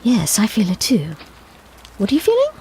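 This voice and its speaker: Actress as whimsical time-traveller, higher female voice, very animated, wry, observant, audio drama, faint rainy noise. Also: moderate pace. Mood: alert, wry.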